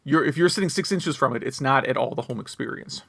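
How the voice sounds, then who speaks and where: A man's voice: mid-range voice, fast talker, creaky, a bit bright, no room sound. A man chatting casually about video games as a podcast co-host, rambling and wryly complaining.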